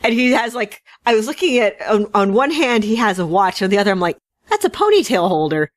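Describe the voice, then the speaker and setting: A woman's voice: medium pitch, big pitch swings, fairly quick, no echo. A woman co-hosting a fan podcast, chatting casually and enthusiastically, with wry asides and digressions. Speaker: podcast co-host. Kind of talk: casual fan news chat.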